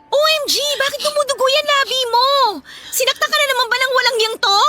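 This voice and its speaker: A woman's voice: very high-pitched, fast, over music. A woman playing a feisty young Filipino best friend, blunt and scolding, in a radio drama. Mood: indignant. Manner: sassy, scolding.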